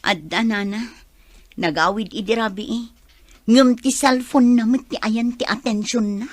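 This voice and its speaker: A woman's voice: fairly high pitch, fairly even intonation, a little slow, no echo. A Filipino-accented woman playing a hurt, suspicious wife in an emotional, pleading radio drama style. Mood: sorrowful. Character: hurt, suspicious wife confronting her straying husband.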